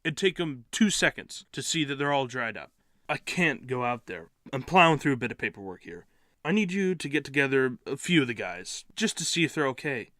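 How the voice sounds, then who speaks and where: A man acts as a busy, concerned cop in an audio play. His mid-range voice is bright and forceful, recorded dry and close, with brisk, colloquial phrasing. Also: medium pitch, big pitch swings, no room sound. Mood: worried, brisk. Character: worried, busy policeman giving orders to colleague. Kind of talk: audio drama acting.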